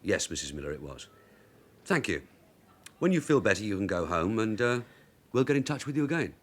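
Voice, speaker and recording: A shrewd veteran police sergeant in a radio crime drama. His low voice moves quickly and swings expressively, with clipped, probing questions and orders. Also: very animated. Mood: patient, probing. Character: shrewd veteran male London detective sergeant. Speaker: actor in a radio detective drama. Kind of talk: naturalistic radio drama acting.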